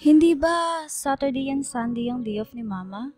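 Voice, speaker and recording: A woman's voice: high-pitched, animated, moderate pace, a little mellow, soft background music. A woman playing a polite, resigned young student daughter in a radio drama, speaking in a subdued way. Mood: subdued, disappointed.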